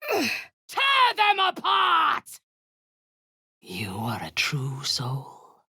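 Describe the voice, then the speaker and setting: A low, husky female dark elf commander from a fantasy video game. She is zealous and cruel, giving orders with slow, deliberate menace. Her delivery swings widely in pitch, with a seductive edge. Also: no echo, clean studio sound, full character voice. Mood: battle fury, then quiet menace.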